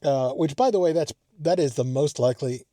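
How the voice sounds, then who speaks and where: A man with a slightly higher-pitched voice and a relaxed, mellow tone talks off the cuff to his vlog. He rambles, thinks aloud and pokes fun at himself. The sound is very dry, recorded inside a parked car. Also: brisk, no echo. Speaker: amateur radio vlogger. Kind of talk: casual unscripted vlog talk.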